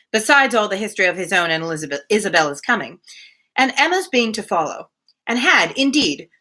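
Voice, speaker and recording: A woman reads a classic novel aloud with a bright, crisp edge to her voice. Her pitch moves in a lively, expressive way, and she drops easily into informal, chatty commentary as host of the reading. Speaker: host of a live book reading.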